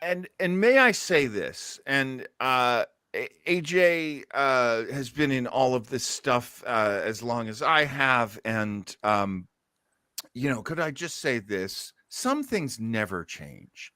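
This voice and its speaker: A man hosting a podcast, chatting casually and telling stories in an animated manner. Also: mid-range voice, takes it slowly, clean tone, no room sound, studio quality. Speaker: podcast host. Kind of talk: casual chat.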